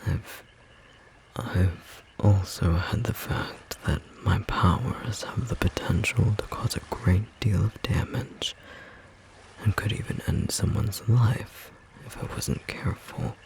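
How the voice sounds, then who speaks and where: A man playing a gentle, romantic storm sorcerer in intimate ASMR roleplay, speaking tenderly in a soft, breathy, slightly rough voice. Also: on the low side. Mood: reflective, earnest. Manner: soft, slow, tender murmuring with pauses.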